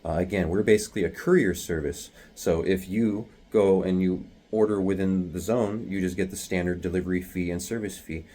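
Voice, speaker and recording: A man with a very deep voice, talking hushed and rambling with many fillers while explaining delivery-driver pay in a casual vlog from a small bathroom at home. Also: steady tone, fairly quick, hardly any pauses. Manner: hushed, half-whispering to avoid waking others.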